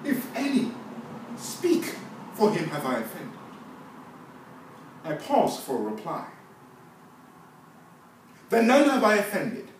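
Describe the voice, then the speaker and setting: A man's voice: sits high, wildly swooping pitch, takes it slowly, pauses often, background noise, voice pushed up, heavily acted. A man performing a classical stage monologue as a proud, solemn Roman nobleman, with declamatory, emphatic delivery. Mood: defiant challenge. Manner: declamatory, emphatic rhetorical delivery with big swells. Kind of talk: classical theatrical monologue, Shakespearean oratory. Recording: very echoey room, distant microphone.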